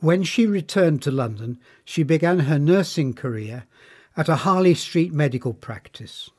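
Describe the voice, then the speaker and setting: A man reading a scripted documentary narration in a formal, measured manner. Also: a little high, animated, darkish tone.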